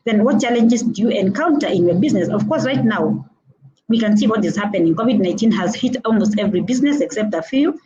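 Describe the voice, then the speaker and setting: A middle-aged woman with a Kenyan accent gives earnest advice, circling back to her points between small "uh" pauses. Her voice is warm and mellow. She comes through a muffled, lo-fi video call. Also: medium pitch, expressive. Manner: earnest and advising, repeating points with frequent "uh" hesitations. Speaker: motivational speaker. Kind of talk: instructive talk on networking.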